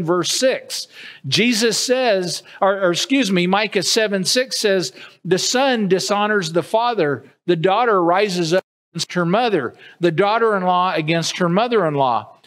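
A man's voice: sits high, lively intonation, measured pace, fairly bright tone. A middle-aged man preaching a sermon from a church podium, earnest and gesturing widely, often restarting his sentences. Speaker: pastor. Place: church sanctuary with empty pews. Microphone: podium mic at a distance, far camera.

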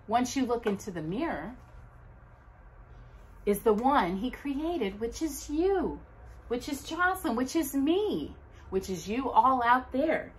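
A middle-aged woman hosting a children's storytime, reading aloud in an expressive, deliberate, dramatic way with emphatic pauses. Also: a little high, rough recording. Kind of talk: expressive read-aloud of Bible verses and a children's Christmas book. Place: living room at home, indoors by a brick fireplace.

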